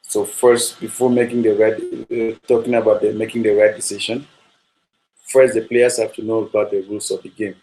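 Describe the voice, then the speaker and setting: A man speaks in a low voice that barely rises or falls. He is a football coach, talking at a steady, measured pace with frequent ums and uhs, and he keeps circling back to the same points. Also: soft background music, low-quality recording. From Nigeria. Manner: measured, repetitive, with frequent fillers. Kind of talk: spoken coaching talk.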